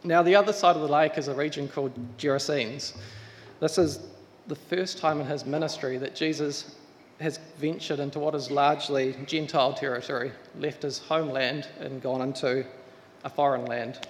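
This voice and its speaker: A man's voice: a little high, steady tone. A man giving an earnest address to a church congregation, welcoming a new pastor. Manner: earnest, measured, with restarts. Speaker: search committee member. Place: church hall. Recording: reverberant church hall, faint room noise.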